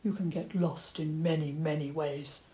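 A woman voicing a gentle, courteous old male badger who stammers a little. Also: low voice, fairly even intonation, measured pace, poor audio. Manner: stammering, courteous, slightly eccentric.

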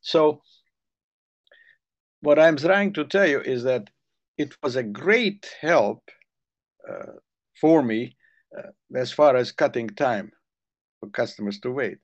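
A man's voice: mid-range voice, lively intonation, takes it slowly, long pauses, muffled recording. An older man with a Hungarian accent, telling long rambling stories in a casual chat. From Ukrainian Soviet Republic. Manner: rambling storyteller, repeats words when restarting.

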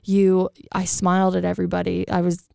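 A woman with a raspy voice, chatting casually as a podcast co-host and rambling with lots of fillers. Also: fairly low pitch, monotone, brisk, warm tone, dry recording, studio quality. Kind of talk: casual chat.

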